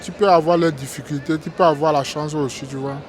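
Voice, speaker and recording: A man from Togo, interviewed outdoors, tells his story haltingly with many restarts and keeps asking "tu vois, non?". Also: a little high, fairly even intonation. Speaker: interview guest, migrant worker. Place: outdoors on a busy street.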